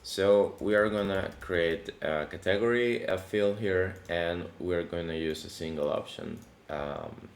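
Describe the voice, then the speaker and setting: A man hosting a casual coding tutorial, his voice deepened by allergies, talking in a relaxed, chatty way with many fillers and restarts. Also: unhurried, hardly any pauses. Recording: echoey room with faint background noise.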